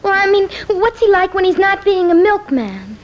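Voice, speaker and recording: An American actress playing an earnest, prim, easily exasperated young daughter in an old radio comedy. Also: female voice, very high-pitched, sing-song, normal speed. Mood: curious. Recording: muffled old-time radio recording, faint noise.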